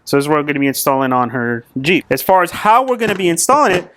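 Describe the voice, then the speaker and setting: A man with a slightly high-pitched voice hosts a do-it-yourself vehicle video. He explains each step casually and conversationally, as if chatting with fellow hobbyists. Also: sing-song, fast talker, a bit bright, no echo. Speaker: host of an off-road vehicle channel. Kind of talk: casual install tutorial to camera.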